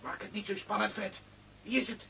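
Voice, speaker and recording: An actor playing an excitable, threatening prisoner in a radio play, impatient, recorded noisily from a distance. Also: male voice, sits high, brisk, creaky, slight background noise, heavily acted. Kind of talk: radio drama acting.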